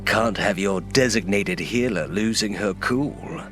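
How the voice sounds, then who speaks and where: A man's voice: lowish voice, measured pace, a bit bright, faint music behind. A man playing a sneering, defiant renegade henchman in a fantasy audio drama, with a taunting, chuckling manner. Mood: mocking, defiant. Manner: taunting, chuckling, sometimes hissing whispers.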